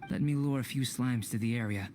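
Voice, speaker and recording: Calm, analytical young alchemist, played by a man. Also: mid-range voice, a little hiss or hum, natural voice. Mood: detached, methodical. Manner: measured, formal, scholarly delivery. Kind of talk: scripted video game voice acting.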